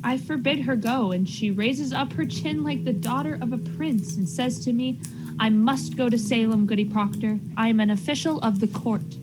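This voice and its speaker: A woman's voice: on the high side, normal speed, background noise, close to an everyday voice. A woman playing an anxious, insistent Puritan farmer's wife in a radio drama, earnest and pressing in old-fashioned phrasing. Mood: troubled. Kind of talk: period radio drama acting.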